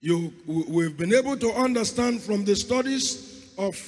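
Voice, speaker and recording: Hoarse man preaching in a reverberant hall. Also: high-pitched, animated, moderate pace, a little mellow. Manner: fervent, repeats calls to God. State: hoarse, lost voice. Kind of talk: sermon with opening prayer. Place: church hall.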